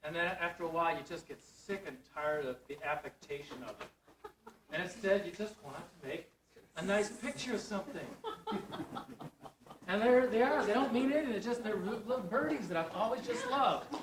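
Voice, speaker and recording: A man's voice: high-pitched, a bit bright, slight background noise. A man speaking as an artist at an exhibition, giving an informal, rambling, self-deprecating and wry talk to an audience. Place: gallery event, before a live audience. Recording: roomy, distant mic in crowded gallery.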